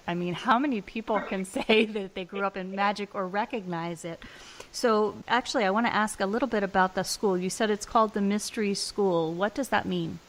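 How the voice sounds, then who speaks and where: A woman's voice: mid-range voice, rough recording. A woman hosting an interview show, reading a polished introduction and then chatting with a guest, laughing often. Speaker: podcast host. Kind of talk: interview, warm scripted intro then chat.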